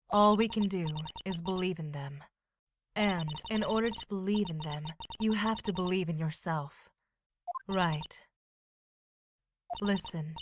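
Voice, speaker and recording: A woman playing a composed, encouraging female mentor lawyer in an amateur video game fandub, measured and reassuring. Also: lowish voice, noisy background.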